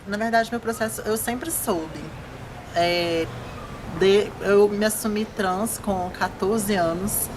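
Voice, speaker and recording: A woman's voice: medium pitch, steady tone, takes it slowly. A woman, speaking openly and directly about her own story. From Mariana, Minas Gerais, Brazil. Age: 20s. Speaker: interviewee. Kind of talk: personal testimony. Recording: noisy background ambience.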